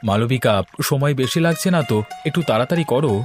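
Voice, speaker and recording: A man's voice: mid-range voice, fast, soft background music, lightly acted. A man playing a weary, anxious husband and father in a cartoon, with a pleading manner. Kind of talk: Bengali cartoon voice acting.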